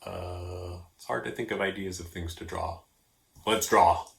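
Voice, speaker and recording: A man's voice: low-pitched, steady tone, measured pace, gravelly. A man hosting a homemade drawing video for kids, talking casually and thinking aloud in an offhand way. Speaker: host of a children's storytime and drawing show.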